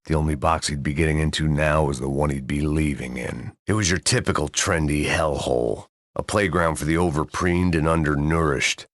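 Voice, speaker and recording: A world-weary middle-aged ex-cop who drinks hard and has gone to seed as a bodyguard. His voice is extremely low and recorded dry and close, in the manner of a noir video game. He sounds deadpan, sardonic and full of self-loathing. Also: no echo, full character voice.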